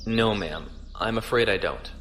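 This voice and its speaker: A man playing a courteous, earnest starship captain in an audio drama, speaking in a measured, formal way. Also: lowish voice, a bit bright, slight background noise. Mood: apologetic.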